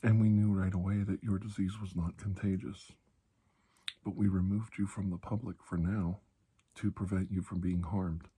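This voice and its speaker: A man with a low, mellow voice that is heavily husky and breathy. He plays a reassuring doctor in a soft-spoken ASMR roleplay, often sinking close to a whisper. His delivery is slow and calming, with deliberate pauses. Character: calm, reassuring older military doctor treating alien infection. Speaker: ASMR performer playing a doctor.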